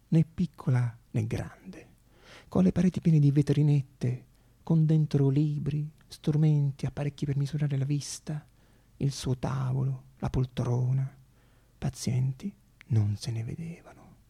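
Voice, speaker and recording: A man narrating a theatrical radio monologue as an actor, with dramatic pauses and repeated phrases. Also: medium pitch, gravelly, mellow, breathy edge.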